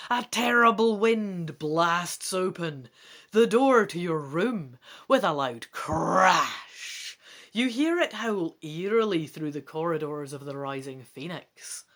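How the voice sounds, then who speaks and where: A woman performing a dramatic storyteller who intones a fantasy dragon tale in a drawn-out, portentous manner. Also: on the low side, expressive, a bit bright, exaggerated voice. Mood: urgent, theatrical dread.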